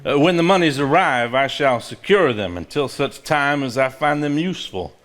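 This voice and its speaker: An actor playing a composed, measured man who reads and talks in a live stage play. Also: medium pitch, animated, a bit bright, natural voice. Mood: formal, measured. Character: composed, conspiring man reading an old diary aloud. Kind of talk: live stage play acting, formal reading aloud.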